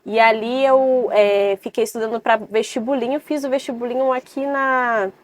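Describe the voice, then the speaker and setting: A woman in her twenties from Salvador, Brazil, talking earnestly as a podcast guest and often pausing and adding "né". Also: on the high side, fairly even intonation, dry recording. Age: 20s. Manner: earnest, with many hesitations and "né" tags. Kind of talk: casual interview chat.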